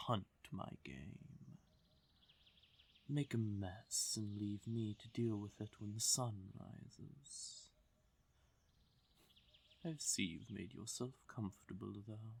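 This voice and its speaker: Man acting a slow, breathy, haughty fae king. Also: fairly low pitch, heavily acted. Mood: mock displeasure. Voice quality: breathy, often dropping to a whisper. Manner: condescending, slow purring menace, theatrical. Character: haughty, teasing male fae king of the forest. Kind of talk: fantasy audio roleplay, speaking directly to listener.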